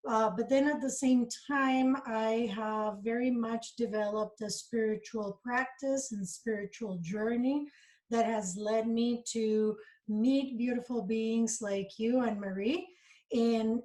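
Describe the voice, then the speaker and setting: A woman's voice: a little high, steady tone, takes it slowly, darkish tone. A middle-aged woman from Costa Rica, a guest in a casual interview, talking in an earnest, reflective, upbeat way about her life and beliefs.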